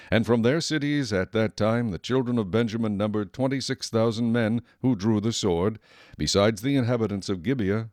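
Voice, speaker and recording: A man giving solemn, measured scripted narration for a dramatized Bible recording. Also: on the low side, darkish tone, dry recording, clean studio sound.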